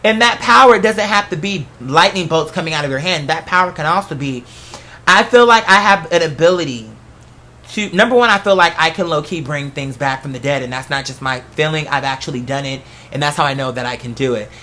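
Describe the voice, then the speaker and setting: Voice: mid-range voice, lively intonation, fairly quick, pushed and bright. An online host giving a candid, rambling, casual monologue to followers in familiar, slangy language.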